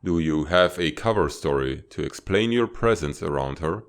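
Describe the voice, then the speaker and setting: Man voicing a very deep, formal reptilian spymaster. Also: expressive. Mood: stern, measured. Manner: formal, measured, authoritative. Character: stern, formal reptilian spymaster, male superior officer. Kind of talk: dramatic fan-dub comic acting.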